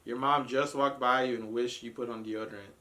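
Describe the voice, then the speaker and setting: A man's voice: mid-range voice, fairly even intonation, a little fast, pushed and bright. A man co-hosting a casual group chat, joking and teasing crudely. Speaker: podcast co-host.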